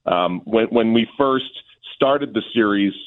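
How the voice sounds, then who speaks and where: A man speaking as a guest play-by-play announcer in a radio interview, giving conversational sports analysis in a measured, discursive way. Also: fairly low pitch. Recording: thin phone-like line.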